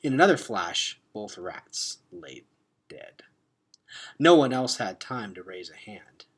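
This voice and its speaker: Man reading a story aloud, very animated pitch. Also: slow. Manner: expressive, drops to hushed tones for suspense. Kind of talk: dramatic read-aloud narration of a children's novel.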